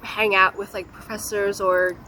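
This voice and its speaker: A woman's voice: mid-range voice, flat delivery, unhurried, bright, forceful tone, a little hiss or hum. A young woman asking casual questions as an interviewer.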